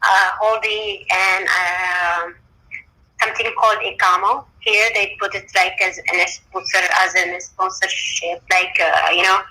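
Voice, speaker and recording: A woman from Ethiopia joining a panel by phone, speaking hesitantly with many pauses and fillers. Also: medium pitch, fairly even intonation, sharp tone, rough recording. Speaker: panel guest, freelance migrant worker. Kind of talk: answering questions on a panel. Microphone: phone on a messaging-app call.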